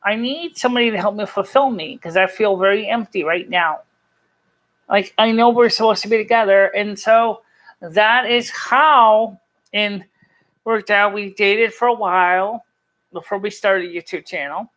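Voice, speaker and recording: A streamer with a mid-pitched voice speaks casually to an online audience. The delivery is earnest and rambling, with halting stretches while telling personal stories. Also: a bit bright. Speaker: live stream host. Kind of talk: casual chat to a live chat audience, personal storytelling.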